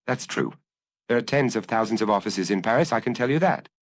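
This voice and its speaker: A man's voice: fairly low pitch, animated, a little fast, dry recording. A man voicing a lonely, edgy, unhappy killer in audiobook dialogue, speaking tersely and guardedly.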